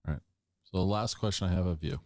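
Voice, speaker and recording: A man's voice: low voice, fast talker, darkish tone, dry recording, studio quality. An American man hosting a podcast interview in an informal, rambling, conversational way. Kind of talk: casual interview chat.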